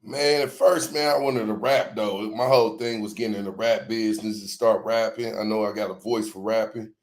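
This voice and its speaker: A man appearing as a guest in a casual podcast interview, speaking in a relaxed, slangy way and ending his phrases with "man". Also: lowish voice, fairly quick. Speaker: music promoter guest.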